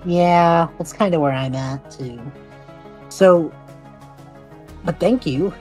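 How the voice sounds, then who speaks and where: A man's voice: a little high, slow pace, a little mellow, soft background music. A man playing an easygoing half-elf student in his early twenties in an improvised story podcast, casual, good-natured and a little hesitant. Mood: casual, thoughtful.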